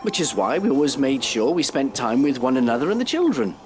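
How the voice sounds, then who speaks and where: A man's voice: mid-range voice, sing-song, fairly quick, faint music behind, lightly acted. A man acting in an audio play as a prim, bookish, easily exasperated character, pedantic and stuffy. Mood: indignant, exasperated. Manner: pedantic, stuffy, hesitant when flustered.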